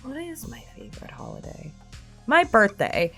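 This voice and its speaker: A woman's voice: fairly high pitch, very animated, normal speed, gravelly, fairly bright tone, faint music behind. A woman casually chatting through a question-and-answer session, candid and self-deprecating, with a freely sweary way of talking. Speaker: online creator answering subscriber questions. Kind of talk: casual Q&A chat.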